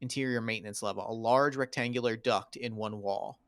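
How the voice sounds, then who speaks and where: A man's voice: fairly low pitch, fairly even intonation, a little fast, no room sound. Man reading stage directions, dry close sound. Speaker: stage-direction narrator at a table read. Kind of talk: scripted narration of screenplay action lines.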